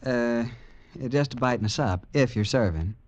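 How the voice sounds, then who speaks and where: Folksy farmer played by a man, hesitant, mid-pitched, dull old radio sound. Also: normal speed, darkish tone, lightly acted. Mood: folksy plain. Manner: hesitant, folksy, full of uh's. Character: plain, good-natured male country farmer with toothache. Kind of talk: 1940s radio acting.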